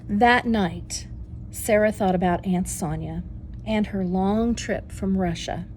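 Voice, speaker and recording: A woman reading a children's story aloud in an expressive, deliberate teacher's way. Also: medium pitch, slight background noise.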